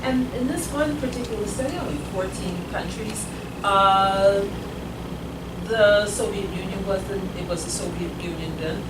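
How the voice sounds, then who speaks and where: A woman's voice: mid-range voice, moderate pace. A grandmother in her sixties telling a rambling personal story at a community meeting, growing emphatic as she goes. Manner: rambling, grows emphatic and forceful. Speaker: meeting attendee. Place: meeting room. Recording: echoey room, far from the mic, loud noise.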